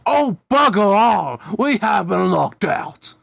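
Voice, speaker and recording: A man's voice: sits high, very animated, slow pace, gravelly, a bit bright, dry recording, voice pushed up, heavily acted. A man voicing a pompous, easily outraged child vegetable from a cartoon, exclaiming indignantly.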